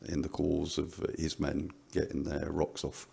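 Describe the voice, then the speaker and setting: Really low voiced guy, husky and crackly, halting casual commentary. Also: monotone, warm tone. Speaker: panelist on a commentary show.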